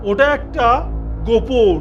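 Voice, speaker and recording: A man's voice: very high-pitched, slow, dull audio, faint music behind. A man playing a spooked, folksy village fisherman with a rural Bengali accent in a cartoon. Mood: spooked, emphatic. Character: frightened rustic village fisherman.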